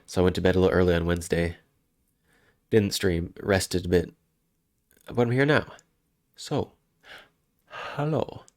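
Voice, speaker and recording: A man's voice: low voice, lively intonation, a little slow, pauses often, a little mellow, no echo, studio quality. A man with a head cold, sounding congested, chatting casually and rambling on a live stream. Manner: rambling, self-interrupting, chuckling.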